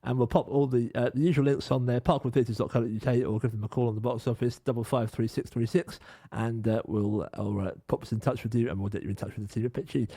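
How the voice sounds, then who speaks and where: A quick-talking man with a mellow, warm tone and a dry, close sound. He hosts a radio studio interview in a brisk, encouraging manner. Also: medium pitch, steady tone, no echo. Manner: brisk, encouraging, frequent murmured agreement. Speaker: radio presenter.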